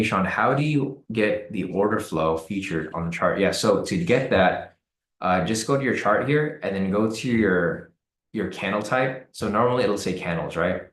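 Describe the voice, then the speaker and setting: A man hosting a live trading stream, hoarse and raspy from the cold, talking casually with many fillers and repeated words. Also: deep voice, a bit bright, roomy sound. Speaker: trading livestream host. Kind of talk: casual live-stream commentary answering chat.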